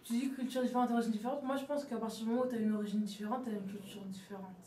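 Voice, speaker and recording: A high school girl in her late teens cutting into a group discussion bluntly. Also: fairly even intonation, recorded from a distance. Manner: quick, blunt, cuts in. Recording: echoey room with noise.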